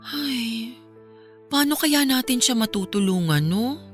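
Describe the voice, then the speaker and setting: A woman's voice: on the high side, lively intonation, takes it slowly. An actress playing a caring young woman with a Filipino accent, earnest and reflective in radio drama dialogue. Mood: concerned, reflective. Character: thoughtful, caring young woman asking about troubled friend. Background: music under the voice.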